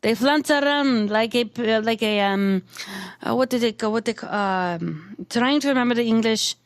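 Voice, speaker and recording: A woman's voice: fairly high pitch, moderate pace, no room sound, clean studio sound. A woman in a tabletop roleplaying game, speaking scornfully and stopping to search for words. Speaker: player.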